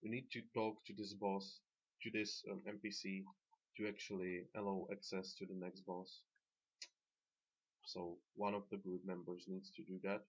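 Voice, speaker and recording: A man's voice: low-pitched, little pitch movement, moderate pace, breathy edge, lo-fi sound. A man whose first language is not English, casually commentating gameplay as a guide, unscripted and correcting himself as he goes. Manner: unscripted, self-correcting, with fillers. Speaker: game guide narrator.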